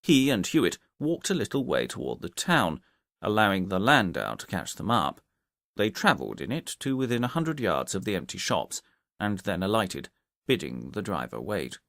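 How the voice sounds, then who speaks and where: A male audiobook narrator reads detective fiction expressively. His voice sits fairly low and moves with lively intonation. The recording is dry and close, with very little room sound. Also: moderate pace, gravelly, no room sound.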